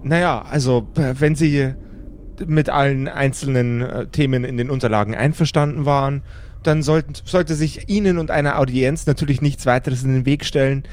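A man playing a fussy, formally polite zombie official in a tabletop audio play, speaking haltingly and deferentially. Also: mid-range voice, a little mellow, close to an everyday voice.

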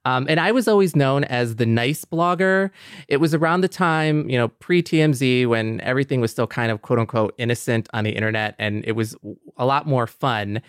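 A man's voice: mid-range voice, normal speed, clean tone, dry recording, clean studio sound. A man co-hosting a podcast in a conversational style. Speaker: podcast co-host.

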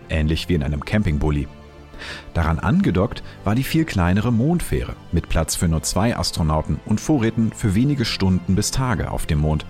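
A man giving calm, explanatory scripted documentary narration. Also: low voice.

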